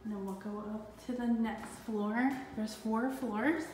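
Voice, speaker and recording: A middle-aged woman giving a slow, plain walkthrough of an empty townhouse, filmed on a handheld phone. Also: fairly high pitch, steady tone, a little mellow, a little hiss or hum. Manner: slow, plain, pausing between rooms. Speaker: parent giving a house tour. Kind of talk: casual walkthrough narration. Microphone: phone camera held while walking. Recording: echoey empty rooms with long reverb.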